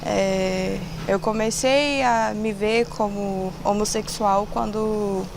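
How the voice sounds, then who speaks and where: A woman's voice: mid-range voice, fairly even intonation, takes it slowly, gravelly. A woman, an economics student giving a candid personal testimony. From interior of Minas Gerais, Brazil. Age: 20s. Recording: noisy background ambience.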